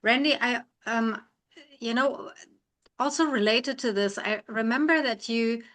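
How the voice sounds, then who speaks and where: A woman's voice: a little high, a little slow, a bit bright. A woman interviewing a guest, putting questions in a conversational style.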